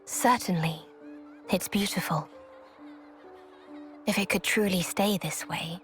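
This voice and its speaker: A woman playing a grave, knowing character in a video game cutscene, challenging another in a measured, solemn, probing way. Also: on the low side, soft background music.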